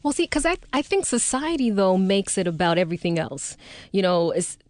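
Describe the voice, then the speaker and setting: A woman hosting a radio show, asking lively, probing questions in a playful tone. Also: mid-range voice, brisk. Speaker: radio host. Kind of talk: radio interview conversation. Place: radio studio. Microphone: studio microphone.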